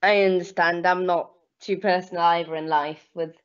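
A woman's voice: on the low side, steady tone, clear, resonant tone. A young woman hosting a casual video-call interview as an eager fan, murmuring along while the guest talks. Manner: eager fan, quick back-channel murmurs. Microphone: computer webcam mic. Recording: dull lo-fi webcam audio.

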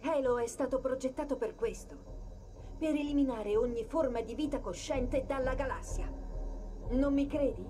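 A woman's voice: sits high, normal speed, natural voice. A woman voicing a fiery, sarcastic female artificial intelligence in a video game dub, biting and emphatic. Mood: urgent, grave. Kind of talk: dubbed video game cutscene acting. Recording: loud music under the voice.

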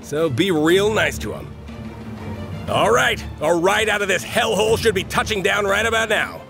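An actor playing a gruff, defiant mercenary squad leader in a video game, with a sardonic, blunt way of talking. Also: male voice, high-pitched, normal speed. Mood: sardonic, scheming. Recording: game music behind the voice.

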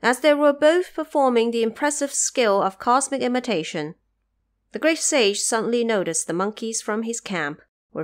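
A woman narrator telling a scripted story for an audio drama in an expressive, measured way. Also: mid-range voice.

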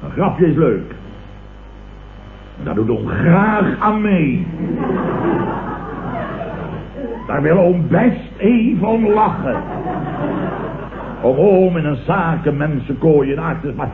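Blustering uncle boss, wildly swooping voice. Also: male voice, a little high, very animated, slow, long pauses, full character voice. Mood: condescending. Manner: pompous, repetitive, blustering. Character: blustering, vain middle-aged businessman uncle and boss. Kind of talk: 1970s Dutch radio comedy acting. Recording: old tinny, noisy, echoey radio recording.

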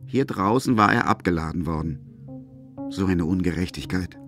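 Low-voiced man narrating a radio play. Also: normal speed, a bit bright, soft background music.

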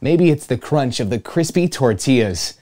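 Man in his twenties, children's storybook read-aloud, highly varied pitch, playful, dramatic emphasis, storytime host. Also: expressive, moderate pace, warm tone. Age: 20s. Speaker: storytime host reading a picture book. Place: small indoor room with home studio gear.

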